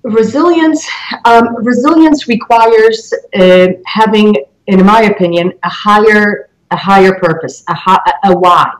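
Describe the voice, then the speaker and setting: A woman in her forties with a Polish accent, giving reflective interview answers in halting, deliberate phrases. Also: medium pitch, poor audio. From Poland. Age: 40s. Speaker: interview guest, mortgage loan originator.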